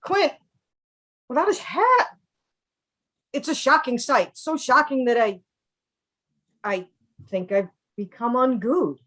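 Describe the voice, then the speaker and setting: A melodramatic oddball male scientist from a stage script, played by a woman. The voice sits high and moves animatedly, with a halting, earnest delivery. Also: lively intonation, fairly bright tone, heavily acted. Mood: dramatic, alarmed. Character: melodramatic, odd, lonely male boat scientist. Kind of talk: theatrical script table read.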